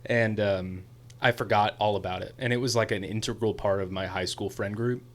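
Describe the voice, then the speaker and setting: A young man co-hosting a podcast in casual chat, an excitable storyteller who rambles, his voice a little hoarse. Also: on the low side, normal speed. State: slightly hoarse after a concert. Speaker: podcast co-host.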